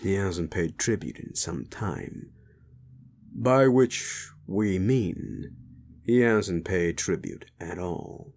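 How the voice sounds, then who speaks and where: A man plays a weary, stern keeper of an underworld club in an audio drama. The voice sits low and moves slowly. He scolds with measured authority. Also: a little mellow, exaggerated voice. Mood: stern warning. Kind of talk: dramatic audio roleplay acting.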